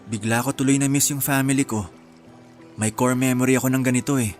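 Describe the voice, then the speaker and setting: An actor with a Filipino accent playing an easygoing, sentimental young man in a radio drama, relaxed and reflective. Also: mid-range voice, a little fast, soft background music, close to an everyday voice. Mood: wistful. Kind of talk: Tagalog radio drama acting.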